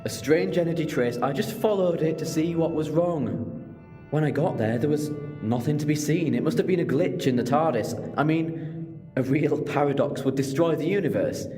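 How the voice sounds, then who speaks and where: An actor playing an earnest, principled time-travelling hero in an audio drama, reasoning in a measured way. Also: male voice, fairly high pitch, mellow, natural voice. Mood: defensive. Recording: long reverb with music underneath.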